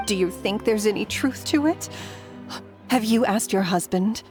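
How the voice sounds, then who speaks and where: A woman's voice: medium pitch, a little slow, darkish tone, soft background music, lightly acted. A woman playing a prudent, genteel married townswoman gossiping in a video game. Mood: curious, gossipy. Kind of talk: scripted video game dialogue.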